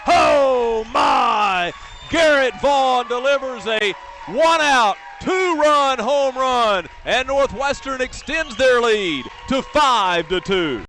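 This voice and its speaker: A man giving excited, emphatic live play-by-play commentary of a game. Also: very high voice, slow, raised voice, a faint crowd. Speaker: sports play-by-play announcer.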